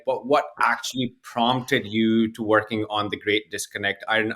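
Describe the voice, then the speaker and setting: A man's voice: lowish voice, a bit bright. A man who grew up in South Asia, hosting a podcast and giving a friendly, partly scripted introduction and questions. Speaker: podcast host.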